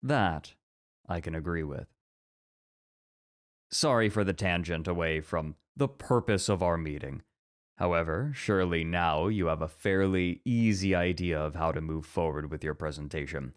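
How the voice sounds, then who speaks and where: Low-voiced, thoughtful male academic played by an actor, composed and formal, for ASMR roleplay. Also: normal speed, close to an everyday voice. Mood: sincere. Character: composed, introspective, scholarly male college teacher.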